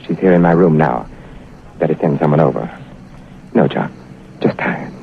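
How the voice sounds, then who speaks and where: A man's voice: very deep voice, moderate pace, vocal fry, a little hiss or hum. An actor playing a weary, grave man in old radio drama style. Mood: weary, grim. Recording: tinny phone-like old radio recording.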